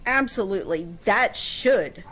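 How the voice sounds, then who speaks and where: A woman's voice: medium pitch, lively intonation, unhurried, a bit bright, poor audio. A woman chatting casually on a radio show, answering a history question with lots of fillers and restarts.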